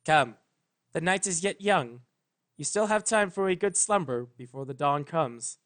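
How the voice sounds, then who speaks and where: A man voicing a solemn, regal pony princess who speaks formally, measured and gently firm, in a story reading. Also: on the high side, expressive. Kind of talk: dramatic fan fiction reading.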